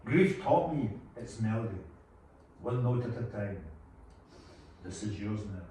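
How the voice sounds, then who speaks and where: A man's voice: lowish voice, expressive, unhurried, mellow. Solemn, grief-worn bard of myth, played by a man: poetic, melodic swings, distant and reverberant with faint noise. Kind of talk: dramatic table read of a fantasy film script.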